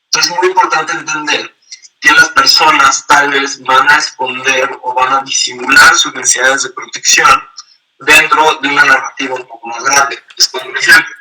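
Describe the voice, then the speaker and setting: A man's voice: medium pitch, fairly even intonation, moderate pace, background noise. A man giving an online training lecture on asylum law, explaining step by step and acting out short example dialogues.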